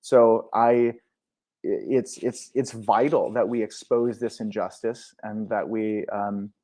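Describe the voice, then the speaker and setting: A man speaking as a podcast guest, earnest and explanatory, often pausing on fillers and restarting his sentences. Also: fairly low pitch, fairly even intonation, dark tone. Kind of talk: conversational interview.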